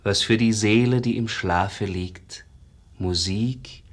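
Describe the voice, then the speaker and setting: An actor playing a rapturous, devoted pupil of a master painter, reciting exalted verse in stage German for a 1950s radio drama. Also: male voice, fairly low pitch, slow pace. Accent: standard stage German. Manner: exalted, rhythmic, lyrical.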